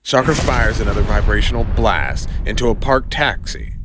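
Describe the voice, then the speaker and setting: A man's voice: on the low side, normal speed, background noise. A man narrating an audio comic in a dramatic, scripted storytelling style.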